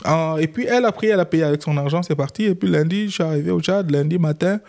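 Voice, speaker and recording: A man's voice: a little high, fast talker, gravelly. A young man from Chad with a Chadian French accent, a lawyer guest in a casual interview, telling his story and acting out the dialogue. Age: young adult. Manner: animated, acts out quoted dialogue.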